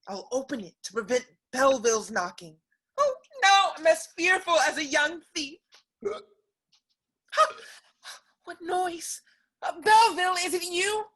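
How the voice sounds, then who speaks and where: A woman's voice: high voice, fairly bright tone. A woman acting an anxious young lady in a theatrical period comedy, fretful and exclaiming. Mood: nervous. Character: anxious young lady sneaking out to her lover.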